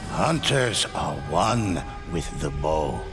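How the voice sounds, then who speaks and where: An actor playing a solemn old storyteller in a video game, narrating samurai legends gravely and theatrically with a gravelly voice. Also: male voice, a bass voice, very animated, slow pace, heavily acted. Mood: solemn, instructive. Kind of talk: dramatic scripted narration. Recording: loud music under the voice. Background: loud cinematic game music.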